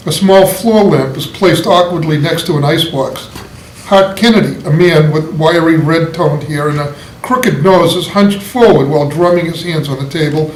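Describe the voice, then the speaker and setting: A man reading scripted stage directions aloud as the narrator of a play read-through. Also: on the high side. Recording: echoey room, faint noise.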